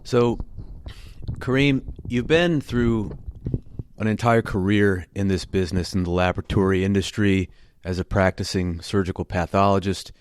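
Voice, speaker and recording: A man hosting a podcast, reading a written intro and then asking his guest questions in a measured, earnest way. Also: deep voice, steady tone, clear, resonant tone. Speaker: podcast host. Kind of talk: scripted intro and interview questions.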